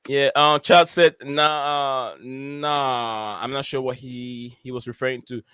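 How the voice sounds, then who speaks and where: Bright, crisp-voiced male stream host. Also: medium pitch, steady tone, slow, dry recording. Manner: hesitant, lots of uh and um, reads out chat comments. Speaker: host of a football podcast stream. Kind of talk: casual live-stream chat.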